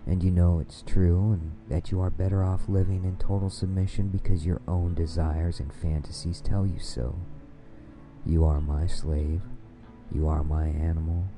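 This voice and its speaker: Male dominant hypnosis narrator: very low, gravelly register, commanding and repetitive scripted delivery, music underneath.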